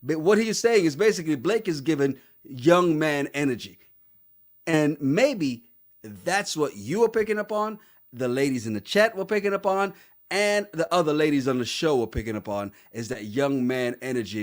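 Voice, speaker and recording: A man's voice: medium pitch, lively intonation, moderate pace. A man co-hosting a casual podcast, laughing often and acting out quotes in his reality-show recap chat. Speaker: podcast co-host.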